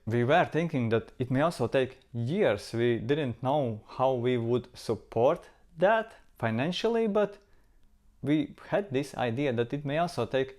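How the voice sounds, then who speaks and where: A man's voice: mid-range voice, a little mellow. Latvian guy vlogging about travel, earnest and reflective, repeats himself for emphasis. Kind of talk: casual talk to camera.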